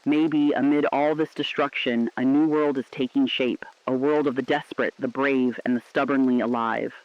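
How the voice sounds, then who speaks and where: A man's voice: medium pitch, steady tone, normal speed, no echo, lightly acted. Man acting a dull-sounding, dry wartime radio correspondent. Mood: somber, hopeful. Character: trembling, defiant radio correspondent in an underground shelter. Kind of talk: solemn scripted fictional radio broadcast.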